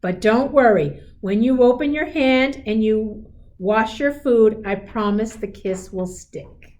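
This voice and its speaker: A woman voicing a gentle, reassuring mother raccoon from a children's storybook, speaking in a deliberate way. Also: on the high side, poor audio. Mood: tender, teasing. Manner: soft-hearted, slow, deliberate storybook delivery.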